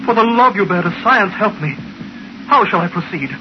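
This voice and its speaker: An actor playing an obsessed, impatient scientist who talks with urgent intensity in an old radio drama. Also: male voice, sits high, lively intonation, moderate pace. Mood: eager. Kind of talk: 1940s radio acting. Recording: hissy, muffled old radio recording.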